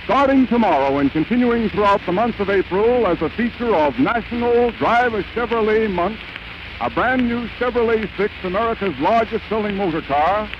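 Male vintage radio pitchman, high voice, formal enunciation, muffled recording. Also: background noise. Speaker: radio announcer. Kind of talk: scripted 1930s radio advertising.

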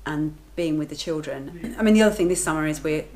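A woman's voice: low voice, fast talker, darkish tone. A woman in her thirties talking casually to the camera in her home kitchen, matter-of-fact and chatty. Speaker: vlogger, lifeguard and working mother.

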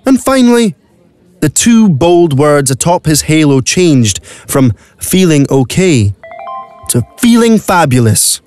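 A man's voice: fairly high pitch, sing-song, takes it slowly, darkish tone, faint music behind. A man narrating a scripted audio play as a dramatic storyteller. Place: dry recording studio.

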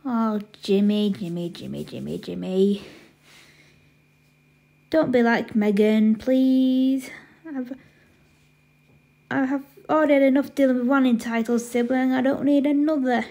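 A woman's voice: sits high, fairly even intonation, moderate pace, warm tone. A woman playing a bossy big sister in a children's skit, stern and exasperated as she scolds. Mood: stern, weary.

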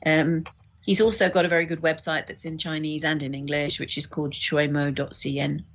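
A woman's voice: low voice, little pitch movement, a little fast. A woman chatting as a podcast guest, explaining things informally and a little hesitantly. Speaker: podcast guest, audiobook producer. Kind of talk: casual interview chat.

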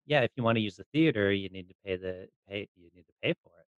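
A man's voice: on the low side, expressive, fast, smooth, no echo, highs cut off. A man hosting a podcast, interviewing in a casual, conversational way. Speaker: podcast host.

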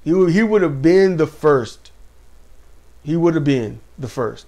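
A man co-hosting a casual wrestling talk show, animated and laughing often, speaking to viewers as y'all. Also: fairly high pitch, unhurried. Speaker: podcast co-host. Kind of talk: casual chat about wrestling.

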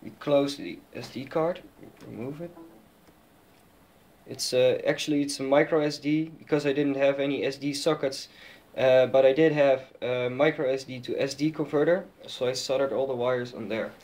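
Guy with a mid pitched voice doing a casual hobbyist demo, kinda halting, talking behind a handheld camera. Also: fairly even intonation, darkish tone. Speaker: electronics hobbyist. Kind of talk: informal project demonstration. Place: indoors at a desk with electronics.

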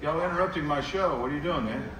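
A man running a comedy hypnosis show on a theater stage, brash and joking, with slow soothing countdowns. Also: a little high, noisy background. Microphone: handheld mic through PA, filmed from audience. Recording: echoey theater PA from far off, loud crowd.

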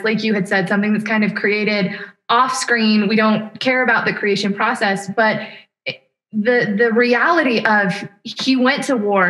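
A woman's voice: medium pitch, a bit bright. A woman in her thirties giving conversational commentary as a co-host on a video call. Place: home room with shelves, indoors.

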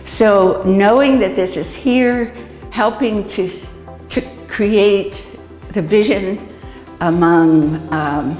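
A woman's voice: mid-range voice, a little mellow. Middle-aged woman, hopeful, echoey room. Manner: hopeful, hesitant, with restarts. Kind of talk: documentary interview. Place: empty house, indoors. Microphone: lapel. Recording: echoey room with long reverb.

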